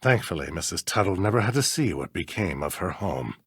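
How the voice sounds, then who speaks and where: An actor playing a pompous, grumbling older small-town judge with an American accent in a video game, in a blustery, theatrical manner. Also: male voice, deep voice, big pitch swings, brisk, dry recording, studio quality, heavily acted. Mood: solemn, explanatory. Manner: blustery, theatrical, throat-clearing, self-important.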